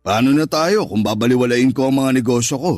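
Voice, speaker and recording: A male actor plays a wealthy Filipino businessman in a radio drama, a jealous and possessive man with a medium-pitched voice. He speaks in a controlling way that can promise care or threaten. Music plays faintly behind him. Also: brisk, a little mellow, no room sound, close to an everyday voice. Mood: reassuring. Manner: controlling, alternately reassuring and threatening. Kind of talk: Tagalog radio drama acting.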